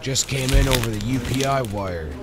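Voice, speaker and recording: A man's voice: mid-range voice, normal speed, a crowd in the background, lightly acted. A man playing a matter-of-fact newspaper colleague in a motion comic, conversational.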